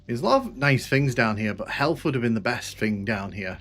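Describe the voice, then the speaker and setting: A man's voice: medium pitch, expressive, a little fast, a bit bright. A young man with a northwest English accent, chatting casually and rambling while streaming a game. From northwest England. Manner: chatty, rambling, self-mocking. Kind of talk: casual gameplay commentary.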